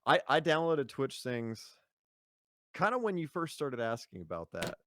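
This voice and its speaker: A man with a low voice, a podcast guest talking casually, rambling with fillers and easy laughs. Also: very animated, unhurried, a bit bright, dry recording, studio quality.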